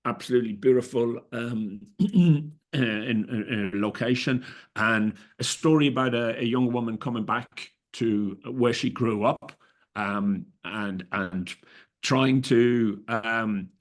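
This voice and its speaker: A man's voice: mid-range voice, fairly even intonation, unhurried, fairly bright tone. A middle-aged Irish man, a festival director, answering interview questions in a hesitant way, with many fillers and restarts. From Ireland.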